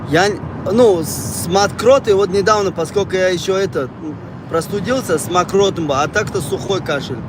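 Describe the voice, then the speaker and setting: Man, fairly high voice, rambling livestream chat, clear traffic noise behind. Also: normal speed. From Tajikistan. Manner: rambling, conversational, repeats phrases. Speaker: live streamer. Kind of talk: casual livestream chat with viewers. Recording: noisy outdoor or car street noise.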